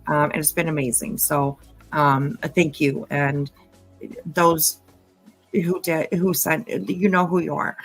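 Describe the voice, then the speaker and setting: A woman hosting her channel, chatting casually and haltingly, with many ums and restarts. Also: deep voice, steady tone, faint music behind. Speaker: channel host. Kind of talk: casual commentary chat.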